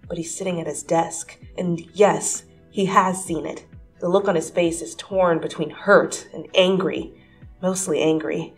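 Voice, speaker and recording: Expressive woman reading a story. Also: lowish voice, rough recording. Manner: expressive, swinging from hushed whispers to breathless excited rises. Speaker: fanfiction reader. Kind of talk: dramatic second-person story reading.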